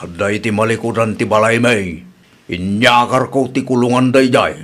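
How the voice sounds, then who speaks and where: A man's voice: medium pitch, normal speed, a bit bright, natural voice. A man acts an exasperated village dad in a radio comedy drama. His voice is mid-range with an Ilocano accent. He speaks plainly and reproachfully. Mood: resigned. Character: exasperated village father scolding his grown daughter.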